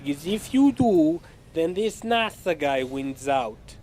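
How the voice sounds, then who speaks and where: A man's voice: fairly high pitch, expressive, slow, a little mellow, a little hiss or hum. A man playing a sly, blunt military general with an authoritative, pointed manner in an audio drama. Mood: commanding.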